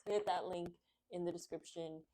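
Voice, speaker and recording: Lower-pitched woman, expressive children's storyteller. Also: a little fast, slight background noise, rough recording. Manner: expressive, gushing, excitable. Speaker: children's book read-aloud host. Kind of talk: storybook narration and friendly chat to young viewers.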